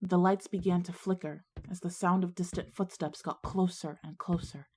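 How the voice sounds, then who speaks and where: A woman's voice: lowish voice, steady tone, normal speed, dark tone, slight background noise, close to an everyday voice. A woman playing an anxious schoolgirl who narrates her own ghost story in an audio drama. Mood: tense narration. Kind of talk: scripted audio drama, first-person narration and dialogue.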